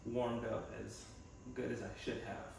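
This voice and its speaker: A young man thinks aloud in a casual, reflective vlog style. His voice sits at a medium pitch with plenty of gravelly fry. It is recorded some way from the mic in a strongly echoing room. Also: flat delivery, measured pace, a little mellow. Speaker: vlogger and physical therapy assistant student. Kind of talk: casual personal vlog, chatting to camera. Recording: echoey room from a distance, faint noise.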